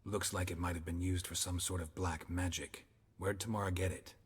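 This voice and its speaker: An actor playing a terse, world-weary monster hunter in a video game, with a gravelly, breathy voice and clipped deadpan delivery. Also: male voice, low voice, normal speed, in a large hall. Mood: probing. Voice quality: very husky, gravelly near-whisper. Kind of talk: understated video game acting.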